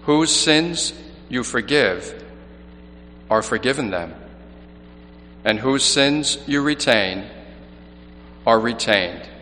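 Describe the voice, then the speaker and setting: A man's voice: mid-range voice, lively intonation, takes it slowly, long pauses, bright, forceful tone. A man from New York City, a missionary priest, reading the Gospel and then giving an earnest mission appeal homily with frequent restarts. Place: large church. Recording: long church reverb, faint hum.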